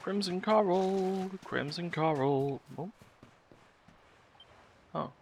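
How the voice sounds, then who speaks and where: A man's voice: high-pitched, drawn-out words, a little mellow, a little hiss or hum. A man streaming a video game, giving casual live commentary and muttering asides to himself and his chat. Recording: game music behind the voice. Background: video game music.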